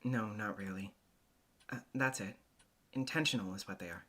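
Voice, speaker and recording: A man narrating a visual novel in the first person, acting it out in an introspective, hesitant, deliberate way. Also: lowish voice, pushed and bright. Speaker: voice actor, narrator.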